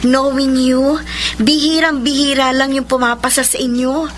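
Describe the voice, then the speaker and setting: A woman's voice: high-pitched, level tone, normal speed, slight background noise, natural voice. A woman with a Filipino accent playing a chatty young niece, deferential to her aunt, in a radio drama. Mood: curious, concerned.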